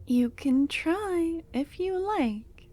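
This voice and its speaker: A woman voicing an obsessive, possessive female cultist lover in an ASMR roleplay, talking softly and slipping into whispers, tender yet menacing. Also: extremely high pitch, expressive, drawn-out words, heavily acted. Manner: soft-spoken, drifting into whispers.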